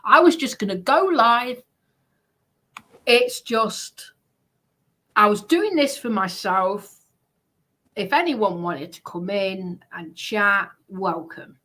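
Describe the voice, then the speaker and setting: A woman's voice: medium pitch, animated, slow, long pauses, sharp tone. A woman hosting a livestream and chatting casually. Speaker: livestream host. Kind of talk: casual chat.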